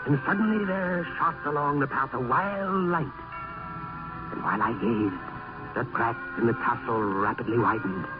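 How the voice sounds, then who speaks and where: An actor playing a grave philosophical friend and narrator in a vintage radio play, with solemn, florid, oratorical delivery. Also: male voice, mid-range voice, moderate pace, over music, close to an everyday voice. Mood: aghast, dramatic. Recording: tinny, noisy old radio recording.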